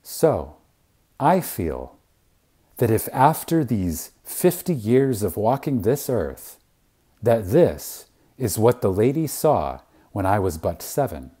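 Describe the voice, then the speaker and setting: A husky-voiced man gives a dramatic reading of a spiritual lecture. His pitch rises and falls widely, and he moves very slowly, leaving deliberate pauses and letting phrases fade softly at the ends. The delivery is reverent and full of emphasis. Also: big pitch swings.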